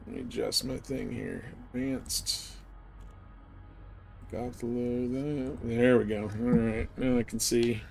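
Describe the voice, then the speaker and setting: A man's voice: medium pitch, steady tone, slow, vocal fry, darkish tone, faint music behind. A man giving casual, live commentary as he streams a video game.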